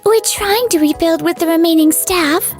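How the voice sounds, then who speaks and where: A woman playing an earnest, anxious young factory manager in a manga dub, polite and expressive. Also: very high voice, moderate pace, faint music behind. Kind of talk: scripted manga dub acting.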